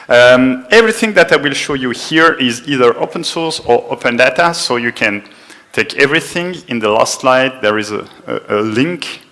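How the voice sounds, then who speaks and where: A man with a French accent presents a technical talk in a conference room. He speaks in a loose, chatty way, pokes fun at himself and fills his pauses with frequent ums and uhs. He sounds like a man in his forties. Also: medium pitch, fairly bright tone. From France. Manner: chatty, self-deprecating, with frequent fillers.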